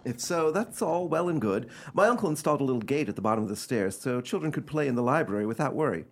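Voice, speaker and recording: A man playing an imperious, coolly cruel, excitable gentleman heir in an old-fashioned radio play, speaking in a theatrical, commanding, formal way. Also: medium pitch, fast. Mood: smug, composed.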